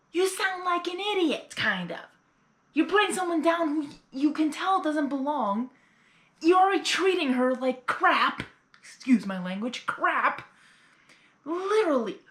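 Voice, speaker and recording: A woman's voice: sits high, fairly bright tone, echoey room. A woman giving casual, exclamatory live reaction commentary with indignant asides. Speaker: reaction video host.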